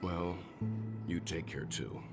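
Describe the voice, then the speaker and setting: A very deep, composed gentleman. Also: steady tone. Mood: calm, cautious. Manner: composed, deliberate, polite. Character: calm, wise middle-aged gentleman, protective leader. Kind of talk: video game dialogue acting. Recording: music behind the voice.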